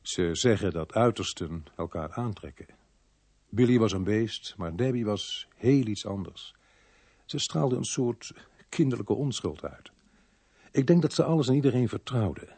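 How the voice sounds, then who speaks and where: A sober, dogged police inspector in a Dutch radio play, with a Netherlands accent. The voice is low and slightly husky, recorded dry and close, and measured and understated in both dialogue and narration. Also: male voice, animated, darkish tone, no room sound, full character voice. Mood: reflective, grave. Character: sober, dogged male police inspector telling his own story. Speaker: actor, lead role and narrator of a radio play. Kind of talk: Dutch radio drama acting and first-person narration.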